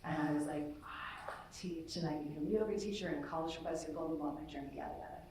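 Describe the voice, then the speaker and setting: A woman's voice: low voice, fast, darkish tone, a little hiss or hum. Chatty woman, far-off echoey room. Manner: chatty, self-deprecating, laughs often. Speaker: content creator, yoga teacher. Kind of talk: casual panel discussion. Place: large echoey gallery, live event.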